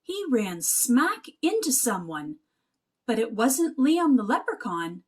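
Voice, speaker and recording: Animated, high-voiced woman reading a storybook. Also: takes it slowly, breathy edge. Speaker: children's book read-aloud narrator. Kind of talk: expressive children's storybook narration.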